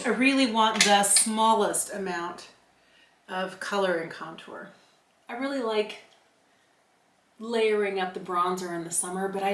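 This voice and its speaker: A woman's voice: medium pitch, a bit bright, reverberant. A woman in her forties chatting casually through a makeup tutorial, narrating each step with self-deprecating asides. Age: 40s. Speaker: beauty vlogger.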